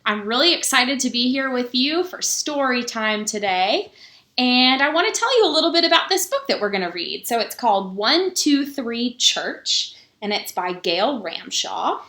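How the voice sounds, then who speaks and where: A woman pastor reading a children's book aloud for story time, pausing to explain things simply to young listeners. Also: a little high, expressive, sharp tone. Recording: roomy, echoing sound.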